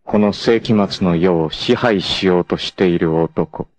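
An actor playing a proud, cool martial arts warrior in a 1980s anime, with a sardonic, dramatic delivery. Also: male voice, sits low, unhurried, a crowd in the background. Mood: grave, cool. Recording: noisy 1980s anime soundtrack.

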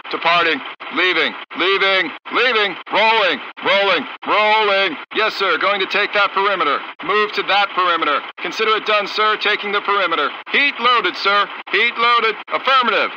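An actor voicing a gung-ho American tank crew commander in a strategy game, terse and brisk, through a military radio filter. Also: male voice, sits high, sharp tone. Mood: brisk, dutiful. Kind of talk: clipped military unit voice lines in a strategy game. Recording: dull radio sound with faint noise.

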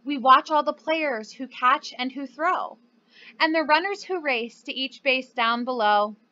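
Casting: young female children's teacher, high-pitched, unhurried delivery, encouraging manner. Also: pushed and bright, lo-fi sound. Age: young adult. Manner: encouraging, addresses young children simply and clearly. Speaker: preschool teacher. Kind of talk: children's lesson and storybook read-aloud.

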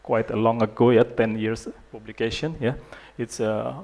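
A man in his thirties with an Indonesian accent, giving a conference lecture on a handheld mic in a large hall, halting and self-deprecating with many fillers. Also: mid-range voice, little pitch movement, darkish tone. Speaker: guest lecturer, urologist.

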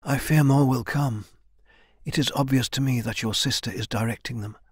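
An ancient female mentor from a fantasy audiobook, voiced by a man, weary and grave. The speech is solemn, quiet and measured, at a middling male pitch in a dry recording. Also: fairly even intonation, husky, no room sound, lightly acted. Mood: grave warning.

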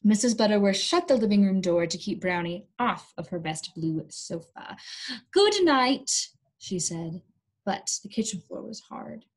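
A young woman reading a storybook aloud to small children in an animated, coaxing way, pausing to ask them questions. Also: fairly low pitch, breathy edge. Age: young adult. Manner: animated, coaxing, exaggerated delivery for young listeners. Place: small indoor room.

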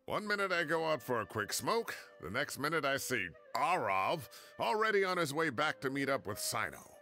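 An actor playing a cranky elderly retired professor in a video game, grumbling and indignant. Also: male voice, on the high side, big pitch swings, moderate pace, pushed and bright, faint music behind, full character voice. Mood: chatty. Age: older.

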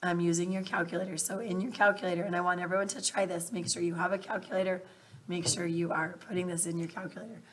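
A woman teacher in her thirties with a husky, scratchy voice that sits fairly low. She walks her students through each step patiently, speaking straight to them from a webcam in a small room. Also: little pitch movement, fast talker. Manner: patient step-by-step explaining, addresses students directly. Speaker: math teacher. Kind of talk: recorded math lesson over worksheet. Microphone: webcam bubble over screen recording.

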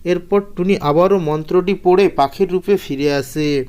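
Male narrator for a children's cartoon fable, notably high voice, scripted storybook read. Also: unhurried, darkish tone, smooth.

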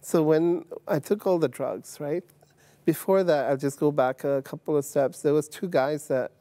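A man from Uganda in his fifties, telling his life story as a guest on a TV studio set, halting with many fillers and restarts. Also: mid-range voice, warm tone, dry recording. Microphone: lapel mic.

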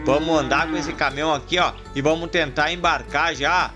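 A high-pitched man chats his way through toy farm play, talking to viewers as his friends. He stretches out long herding calls to the cattle. Music plays loudly behind his voice. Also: fairly even intonation, brisk. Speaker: toy farm channel host. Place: outdoors in a dirt yard with toy animals. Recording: background music under the voice.

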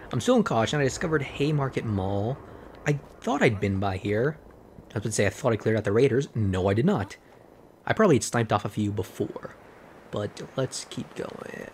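A slightly hoarse man rambling through casual gameplay commentary, full of fillers and self-corrections. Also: lowish voice, lively intonation, moderate pace, long pauses, slight background noise. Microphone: desk microphone.

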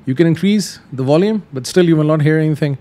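A man's voice: on the high side, fast talker, warm tone. A man hosting a tutorial, explaining things casually step by step and repeating simple instructions. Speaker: host of flight simulator tutorials.

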